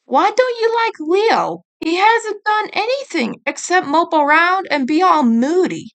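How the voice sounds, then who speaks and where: A woman's voice: very high voice, lively intonation, measured pace, a bit bright, pitched above the performer's own voice, exaggerated voice. A woman voicing a stubborn, protective teenage male turtle ninja, the blunt older brother, in a fan story reading. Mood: puzzled.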